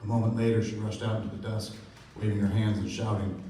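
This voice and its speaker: A man's voice: lowish voice, flat delivery, fast talker, close to an everyday voice. A man playing a sober, reflective narrator in a radio play, recorded at a distance with strong echo and faint noise. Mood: somber. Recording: echoey, noisy stage recording from far off.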